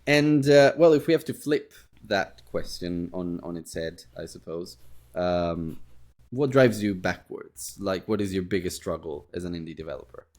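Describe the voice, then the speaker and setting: A man hosting a casual podcast interview, speaking in a hesitant, halting way. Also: deep voice, animated, darkish tone. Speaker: podcast host.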